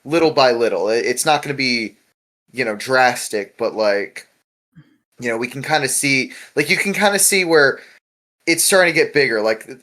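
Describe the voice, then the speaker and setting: A man's voice: mid-range voice, fairly bright tone. Rambling man chatting on a podcast. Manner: rambling, frequent filler "like". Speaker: podcast host. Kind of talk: casual podcast discussion of a webcomic.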